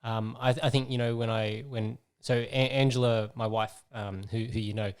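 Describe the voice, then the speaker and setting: A man's voice: lowish voice, level tone, moderate pace, clean tone. A man hosting a casual podcast interview, talking in a rambling way, repeating words and digressing. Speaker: podcast host.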